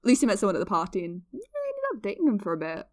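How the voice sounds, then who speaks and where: A woman's voice: medium pitch, huge pitch swings, racing, darkish tone. A woman in her twenties with a British accent, playing a self-deprecating, awkward amateur podcaster in an audio drama, chatting in dry, hesitant asides. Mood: wry, confiding. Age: 20s.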